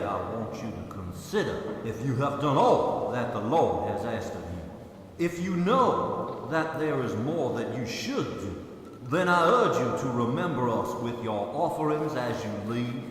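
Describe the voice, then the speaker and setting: Lively, sanctimonious preacher. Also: male voice, medium pitch, a little hiss or hum. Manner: sanctimonious, earnest, measured. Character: pious, self-assured male church preacher. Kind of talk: radio drama acting.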